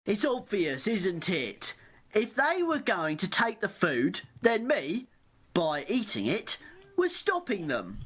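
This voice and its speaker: A woman's voice: medium pitch, wildly swooping pitch, takes it slowly, slightly rough, exaggerated voice. A woman playing a sly, self-justifying opportunist with a smug, sardonic manner in an amateur radio play.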